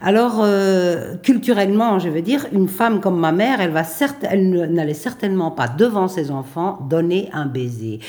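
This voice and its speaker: Middle-aged woman, lowish voice, hesitant, reflective. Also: darkish tone. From Belgium. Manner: thoughtful, hesitant with many pauses and restarts. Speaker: playwright and performer. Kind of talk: radio interview.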